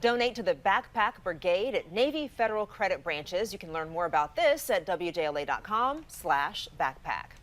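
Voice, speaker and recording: A woman's voice: fairly low pitch, big pitch swings, moderate pace, few pauses, fairly bright tone. A woman co-anchor on morning news, chatting at the desk and reading announcements.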